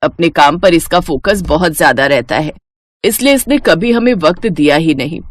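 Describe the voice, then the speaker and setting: A woman's voice: deep voice, lively intonation, normal speed, no echo, studio quality. A woman playing an earnest middle-aged mother-in-law who explains things matter-of-factly in a family cartoon story. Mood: confessing, relieved. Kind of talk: Hindi cartoon story voice acting.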